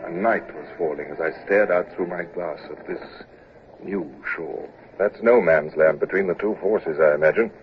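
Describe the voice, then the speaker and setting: An actor playing a shrewd naval captain who also narrates, with a dry, understated manner, in an old radio drama. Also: male voice, deep voice, moderate pace, natural voice. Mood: calm. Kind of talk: 1950s radio drama acting. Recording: dull old radio transcription with faint hiss.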